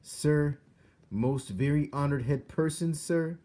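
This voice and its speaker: A man's voice: on the high side, takes it slowly, mellow, voice pushed up. A man voicing a small, earnest male mouse who pleads politely and formally in a storybook reading. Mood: earnest pleading. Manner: formal, deferential address.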